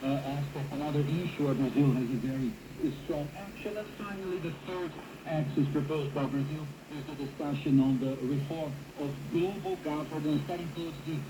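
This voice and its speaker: A man's voice: fairly high pitch, brisk. A man delivering a news report as a field correspondent. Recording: noisy shortwave radio reception.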